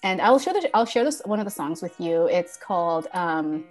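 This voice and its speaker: A woman's voice: fairly low pitch, very animated, moderate pace, faint music behind. A woman guest speaking reflectively in casual conversation, with many fillers and restarts. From born in Thailand.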